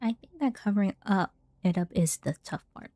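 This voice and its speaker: A woman's voice: mid-range voice, expressive, normal speed, dark tone, no echo. A woman streaming a game, casually commenting and reading dialogue aloud as she plays. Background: faint game music.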